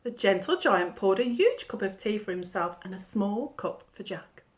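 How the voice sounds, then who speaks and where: A woman teacher reading a children's storybook aloud in an expressive storytelling manner. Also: mid-range voice, normal speed.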